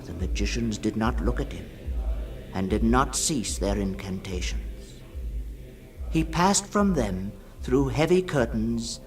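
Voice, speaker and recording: A man giving a solemn, dramatic literary reading in the style of 1960s radio, swinging between hushed and ringing tones. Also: on the low side, lively intonation, unhurried. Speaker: storyteller, reader. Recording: 1960s radio production with music behind. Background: music.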